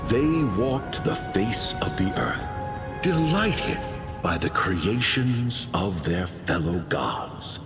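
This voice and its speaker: A very slow, solemn myth teller. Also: male voice, lowish voice, big pitch swings, music in the background. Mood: solemn, reverent. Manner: solemn, deliberate, portentous. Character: grave, solemn teller of an ancient creation myth. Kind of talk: scripted mythic narration in a video game.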